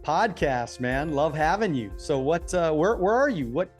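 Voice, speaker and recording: A man's voice: on the high side, lively intonation, normal speed, faint music behind. A man with an American accent hosting a casual interview podcast, chatting informally in a buddy-like way and often repeating words as he goes. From Southern California, US. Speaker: podcast host.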